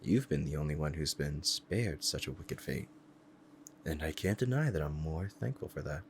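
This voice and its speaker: A man's voice: very deep voice, animated, moderate pace, a little hiss or hum. A man playing a powerful, proud demon devoted to a master, speaking in a formal, courtly and menacing way in an ASMR roleplay drama.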